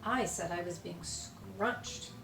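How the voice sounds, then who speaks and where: A woman reading a children's storybook aloud expressively, with dramatic emphasis and deliberate pauses on key words. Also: on the low side, vocal fry. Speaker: storybook reader. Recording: echoey room, distant mic, music behind.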